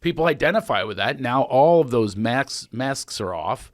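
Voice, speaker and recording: A middle-aged man hosting a podcast in casual chat, playful and quick to laugh as he riffs on jokes. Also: mid-range voice, lively intonation, no echo, studio quality. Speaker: podcast host.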